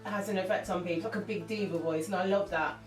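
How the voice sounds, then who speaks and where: A woman's voice: lowish voice, steady tone, fast talker. A woman, talking openly and emotionally as an interview guest, often laughing as she tells her story. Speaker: interview guest, singer and actress. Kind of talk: candid conversational interview. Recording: echoey room, mic far off, music underneath.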